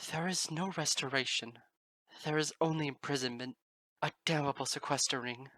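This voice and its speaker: Man playing a sorrowful ancient queen, solemn, grave, regal, crisp dry sound. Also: a little high, moderate pace, bright, forceful tone, no room sound, pitched above the performer's own voice.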